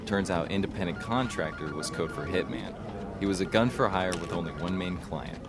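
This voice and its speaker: An actor playing an eager contact reporting a lead to a detective. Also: male voice, sits low, fairly quick, over loud music. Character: male investigator contact, eager informant. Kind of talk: audio drama acting.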